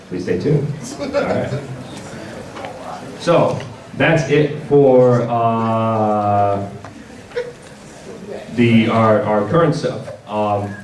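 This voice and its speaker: A man giving an informal presentation at a convention panel, speaking in a halting, stop-start way and repeating words. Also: fairly low pitch. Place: large indoor convention panel room. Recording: echoey hall, distant mic, audience noise.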